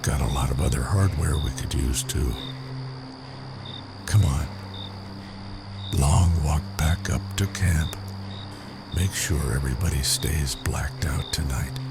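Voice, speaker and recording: Man playing a very deep, gravelly raider boss. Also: slow, long pauses, over loud music, full character voice. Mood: calm, commanding. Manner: curt, commanding. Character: gruff, menacing male leader of an armed raider gang. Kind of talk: audio comic dramatic acting. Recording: clearly noisy track with night crickets.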